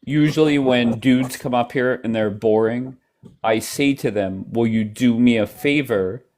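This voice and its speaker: A man hosting a casual livestream chat, blunt and quick to cut in on his guests. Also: medium pitch, darkish tone, a little hiss or hum. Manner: blunt, apologetic, talks over guests. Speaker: livestream host. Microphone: headphones in, at home.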